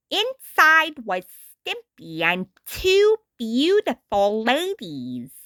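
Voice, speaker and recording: A woman reads a story aloud at a very slow, deliberate pace. Her voice is bright and swings widely in pitch with dramatic storybook phrasing. The recording is dry and close. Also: no echo. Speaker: storytime narrator. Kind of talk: expressive scripted storybook narration.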